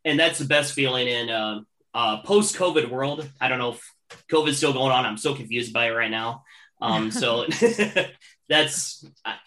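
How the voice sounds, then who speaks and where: A man's voice: medium pitch, measured pace, bright, forceful tone. A man in his late thirties interviewing a band, chatty and enthusiastic. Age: 30s. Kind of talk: casual interview.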